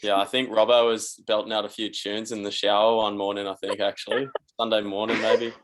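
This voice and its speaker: A man, an athlete chatting on a video call in a relaxed, matter-of-fact way. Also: lowish voice, a little fast. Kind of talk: casual video call chat.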